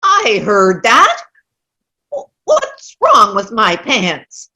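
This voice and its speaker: Cranky old widow, bright and high-pitched woman acting. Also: wildly swooping pitch, very slow, slightly rough, heavily acted. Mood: grumpy. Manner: grumbling, dismissive, blunt complaining. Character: cranky elderly widow, scornful, stubborn and naive. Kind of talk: amateur play reading. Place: online video-call play reading.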